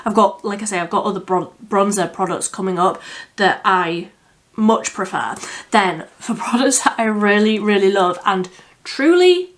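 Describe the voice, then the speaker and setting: Croaky woman reviewing makeup. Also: medium pitch, lively intonation, moderate pace. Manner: chatty and candid, with frequent fillers. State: croaky from a cold. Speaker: beauty vlogger. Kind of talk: casual product review.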